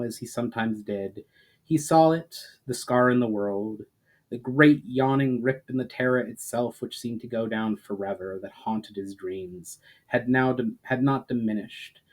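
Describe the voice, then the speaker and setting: A man reading fantasy fiction aloud as a dramatic narrator, in a measured delivery with the odd stumble. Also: mid-range voice, darkish tone. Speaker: author reading own story.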